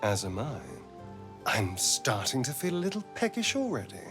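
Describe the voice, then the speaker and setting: A haunted, centuries-old vampire spawn from a fantasy game. He is sardonic and dramatic, swinging widely in pitch for emphasis, with music underneath. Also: wildly swooping pitch, moderate pace. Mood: sly, defensive. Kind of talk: video game character acting.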